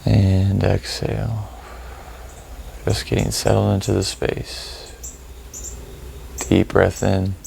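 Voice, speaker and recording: A man leading a guided meditation, giving gentle, informal instructions with unhurried pauses. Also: low-pitched, monotone, creaky, slight background noise.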